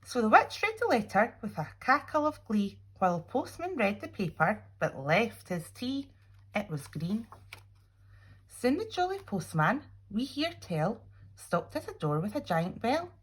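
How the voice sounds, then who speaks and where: A woman reading a children's storybook aloud expressively, with dramatic pauses and little asides to young listeners. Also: mid-range voice, husky. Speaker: teacher reading a picture book aloud.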